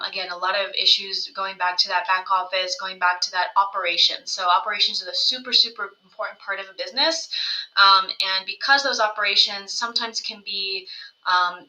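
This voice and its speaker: A woman's voice: medium pitch, bright, forceful tone, poor audio. A woman, a company co-founder, giving a casual business presentation over slides, conversational and often checking in with "right?". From United States. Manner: conversational, frequent "right?" tag questions.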